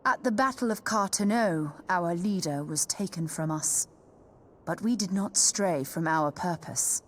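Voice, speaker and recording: An actress playing a poised, solemn young woman scholar in a video game, calm and formal. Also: fairly low pitch, unhurried. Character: poised, solemn maiden scholar of a secret order. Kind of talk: video game cutscene acting.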